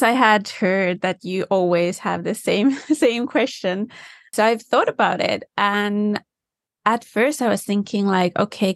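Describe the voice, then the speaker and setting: A woman talks through her answers thoughtfully and with enthusiasm, now and then searching for the right word. Her voice sits at a middle pitch. The recording is dry, with almost no room sound. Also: dry recording. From Finland. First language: Finnish. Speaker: guest, design specialist. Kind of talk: conversational interview answers. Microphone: remote call from home.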